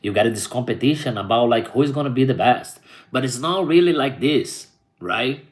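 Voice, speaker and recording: A man's voice: medium pitch, lively intonation, moderate pace. A man from Brazil with a Brazilian Portuguese accent, a rapper talking casually to his viewers and often adding "right?". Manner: rambling, frequent "right?" tags. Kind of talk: casual vlog chat.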